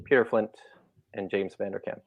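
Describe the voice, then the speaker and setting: 低めの声で考えながら話す男性. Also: male voice, fairly low pitch, fairly even intonation, normal speed, dry recording, narrow, tinny audio. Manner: thoughtful, hesitant with frequent fillers and restarts. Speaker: guest scholar. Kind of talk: casual conversational interview.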